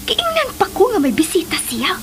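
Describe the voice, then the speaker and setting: An actress playing a furious, demanding jilted mistress with a shrill voice in a melodramatic radio drama. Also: female voice, huge pitch swings, normal speed, a bit bright, soft background music, full character voice. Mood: seething, wounded.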